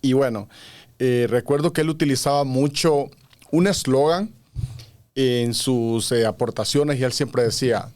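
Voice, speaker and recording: A man's voice: medium pitch, a little slow, a little mellow. A man from Honduras, a podcast guest who explains his views earnestly at length, with frequent fillers. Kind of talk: conversational interview.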